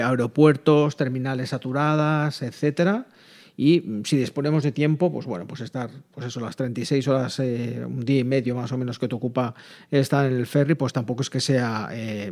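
A man with an accent from Spain hosting a travel talk, explaining things in a conversational, halting way with frequent filler words. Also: medium pitch, darkish tone. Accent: Spain Spanish. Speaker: host of a travel programme.

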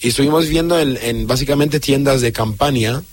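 A young man from Syria, a radio interview guest telling his own story in an earnest, halting way with many fillers and restarts. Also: mid-range voice, dry recording.

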